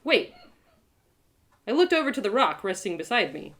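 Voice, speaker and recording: A woman storyteller reads first-person fiction with a very expressive, varied pitch. Her phrasing is deliberate and theatrical, broken by dramatic pauses. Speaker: storyteller, host of live readings.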